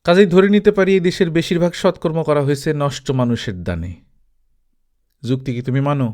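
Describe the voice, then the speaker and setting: A man voicing a wealthy, shady village trader in an audiobook story, brazen, calm and domineering, talking colloquially and justifying himself with rhetorical questions. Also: a little high, fairly quick, lightly acted. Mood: persuasive, self-assured.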